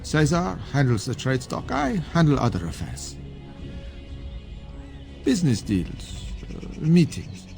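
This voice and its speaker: A man's voice: medium pitch, huge pitch swings, slow, pauses often, a little mellow, faint music behind, exaggerated voice. A man playing a suave, worldly foreign merchant with shady dealings in a fantasy video game, courtly and philosophical in manner. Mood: courtly, businesslike. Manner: courtly, smooth-talking, philosophical.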